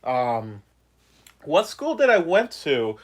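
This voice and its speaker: A man's voice: on the high side, wildly swooping pitch, slow. A young man from Puerto Rico, a puppeteer, chatting casually on a phone livestream with many fillers. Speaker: puppeteer vlogger. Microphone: phone on a ring light.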